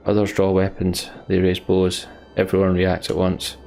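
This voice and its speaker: A man's voice: deep voice, completely flat delivery, moderate pace, vocal fry, darkish tone, faint music behind. A man hosting a let's play, reading story text aloud dramatically and adding sweary, emotional asides. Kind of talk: dramatic narration with casual asides.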